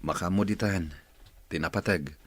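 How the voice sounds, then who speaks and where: A man's voice: low-pitched, normal speed, slightly rough. An actor playing a weary, struggling working-class husband and father in a radio drama. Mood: burdened, plaintive. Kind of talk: radio drama acting in dialogue. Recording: radio drama with loud music behind. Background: loud background music.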